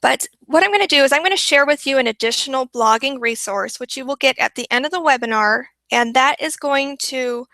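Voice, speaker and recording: A woman's voice: sits high, bright, forceful tone, no echo. A woman presenting a marketing webinar, upbeat and repeating points for emphasis, her voice worn and going. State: losing her voice.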